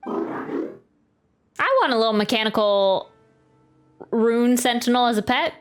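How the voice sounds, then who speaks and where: Higher-pitched woman game streamer, thinking aloud. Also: slow pace, noisy background. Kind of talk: casual live stream commentary. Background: game music.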